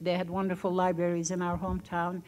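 A woman's voice: on the low side, monotone, fast talker, darkish tone, slight background noise, low-quality recording. A Turkish-born woman in her sixties, a non-native English speaker, telling personal stories on a museum panel with frequent fillers and laughs, into a table mic on a stage. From Turkey. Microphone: table mic on stand.

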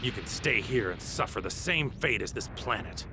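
A man's voice: lowish voice, animated, normal speed, noisy background. A man voicing a righteous, battle-worn warrior hero in an anime game, with stern, intense and resolute delivery. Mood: stern, resigned. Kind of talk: dramatic anime game voice acting. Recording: music behind. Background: battle music.

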